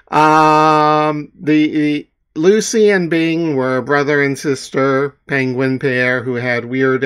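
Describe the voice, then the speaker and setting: A middle-aged man from Newfoundland, Canada, talking casually to viewers in a halting, self-deprecating, rambling way. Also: medium pitch, fairly bright tone, clear, resonant tone. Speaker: comic artist and author.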